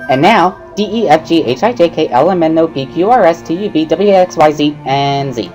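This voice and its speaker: A woman's voice: low voice, expressive, brisk, a little mellow, faint music behind, pitched above the performer's own voice. A woman voicing a bubbly, pushy schoolgirl tutor with perky, giggly, emphatic speech in a visual novel reading. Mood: cheerful teaching. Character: bubbly, pushy schoolgirl, earnest amateur sign language tutor.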